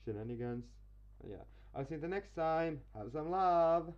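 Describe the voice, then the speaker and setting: A man's voice: fairly high pitch, lively intonation, moderate pace, a little mellow, dull audio. A man doing an amateur let's play, reading a visual novel aloud with casual commentary and stumbling over words. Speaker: let's player. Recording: music behind.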